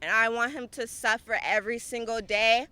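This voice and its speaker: A woman giving a victim impact statement as a grieving family member, speaking slowly in grief. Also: fairly high pitch, fairly even intonation, pushed and bright, smooth. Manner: slow, grieving delivery.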